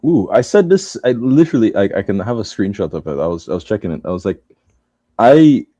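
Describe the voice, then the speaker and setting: A man co-hosting a live football watch-along, chatting casually and jokingly with halting repeats. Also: lowish voice, sing-song, mellow, no room sound. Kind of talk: casual chat. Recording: dull lo-fi mic.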